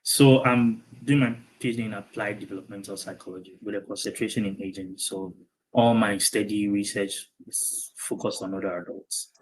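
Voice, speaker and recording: A young man with a Ghanaian accent, a doctoral student being interviewed over a video call, speaking thoughtfully with many pauses and ums. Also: on the low side, fairly even intonation, slightly rough, poor audio.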